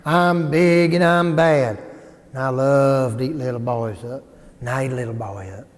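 A man voicing a huge, gluttonous bad bear in a folktale, chanting slowly and menacingly with growls. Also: medium pitch, steady tone, lightly acted. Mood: menacing, roaring. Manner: slow menacing sing-song chant, growling. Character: huge gluttonous bad bear bragging about eating people. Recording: echoey hall with long reverb.

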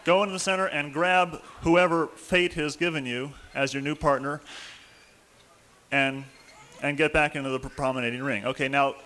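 Dance caller guy telling folks where to go, joking around, kinda high voice. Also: steady tone, moderate pace, sharp tone. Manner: calls steps between sung lines, jokey asides. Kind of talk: calling a folk dance and singing along.

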